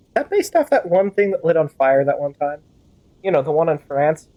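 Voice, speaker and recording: A woman's voice: low voice, very animated, a little fast, lo-fi sound. A woman streaming a game with casual, rambling, playful commentary and sudden exclamations. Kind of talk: casual game commentary with in-character fan-dub banter.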